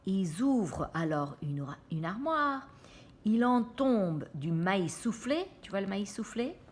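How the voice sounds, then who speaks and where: A woman reading a storybook aloud to children, pausing to explain pictures and check in with her listeners. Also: medium pitch, sing-song. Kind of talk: animated read-aloud with asides to children.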